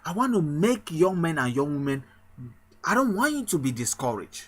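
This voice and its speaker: A man's voice: fairly high pitch, very animated, normal speed, breathy edge. A man from Cameroon, a pastor, giving an emphatic motivational sermon with a repetitive rallying cadence.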